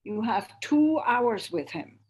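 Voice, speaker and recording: Woman acting husky, scornful young mother. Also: a little high, lively intonation, slow, a little mellow, exaggerated voice, poor audio. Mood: scornful, sarcastic. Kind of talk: script table read, dramatic dialogue. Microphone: home microphone on a video call.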